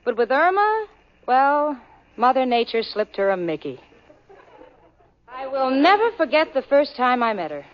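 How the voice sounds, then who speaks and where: A woman's voice: sits high, big pitch swings. An actress playing Jane, a level-headed, sarcastic young woman, in a 1940s radio sitcom. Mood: wry narration. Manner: wry, sarcastic, quick with comebacks. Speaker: sitcom co-lead and narrator. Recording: muffled old radio broadcast with studio audience.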